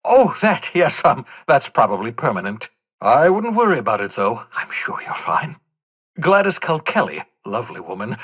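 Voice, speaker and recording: Jittery older mad scientist for a video game, husky voice, wordy and wry, pitch all over the place. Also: male voice, a bit bright. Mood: breezy, candid. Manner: theatrical, hesitant asides, wordy and wry. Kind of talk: video game character dialogue.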